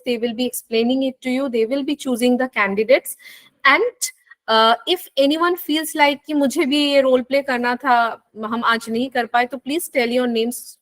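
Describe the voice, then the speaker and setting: Quick-talking woman. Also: on the high side, fairly bright tone. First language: Bengali. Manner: switches between English and Hindi, many fillers. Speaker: nutrition instructor hosting an online class. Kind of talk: online class briefing. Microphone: video call.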